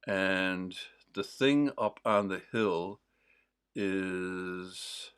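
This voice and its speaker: A man with a mild Newfoundland accent, hosting a livestream in a casual, rambling, digressive chat full of pauses. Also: deep voice, fairly bright tone, clean tone. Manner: digressive, with many pauses and fillers. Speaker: host of a livestream.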